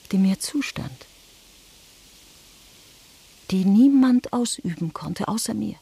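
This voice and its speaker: A wounded, vengeful noblewoman of old France. Her voice is husky and gravelly, and she speaks with dramatic intensity in a radio play. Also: lowish voice, mellow, exaggerated voice. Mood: hushed, vengeful.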